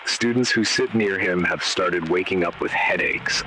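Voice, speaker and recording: A man reading scripted horror narration in a measured, grave, ominous way, in short dramatic phrases. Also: low voice, pushed and bright, low-quality recording. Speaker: narrator of an analog horror video. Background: static noise.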